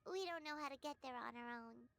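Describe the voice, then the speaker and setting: A woman voicing a small, excitable fairy girl companion in a video game, with a squeaky childlike voice and a dramatic, exclamatory delivery. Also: quick pace, gravelly. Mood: earnest, eager.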